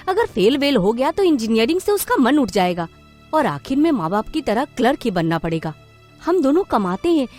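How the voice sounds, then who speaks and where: Woman playing an animated, fretful mother. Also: fairly high pitch. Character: fretful middle-aged mother, worried about her son.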